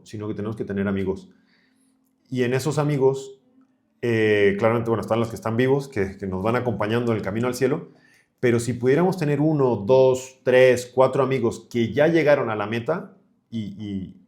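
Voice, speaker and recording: A man's voice: mid-range voice, a little mellow. A man of thirty-five from Chile, a priest talking colloquially as a podcast guest. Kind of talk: conversational podcast interview.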